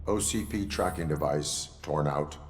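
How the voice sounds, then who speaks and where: An actor playing a stoic cyborg policeman in a video game, with deadpan, clipped, formal speech. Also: very deep voice, unhurried. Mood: resolute, deadpan. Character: stoic cyborg policeman, grown man turned machine.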